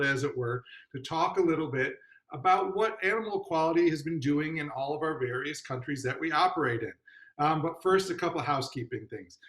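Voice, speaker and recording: A man's voice: a little high, a bit bright. Upbeat man hosting, echoey room. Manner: upbeat, chatty, with fillers and restarts. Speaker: host. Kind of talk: livestream fundraising host.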